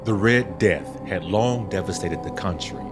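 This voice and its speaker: A man's voice: sits low, a little slow. A man narrating a scripted gothic horror tale in a theatrical, literary storytelling manner. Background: background music.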